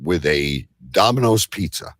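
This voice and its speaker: A man's voice: low voice, animated, unhurried, fairly bright tone, no room sound, studio quality. A man hosting a casual panel chat, bantering in a blunt, teasing way. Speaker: show host.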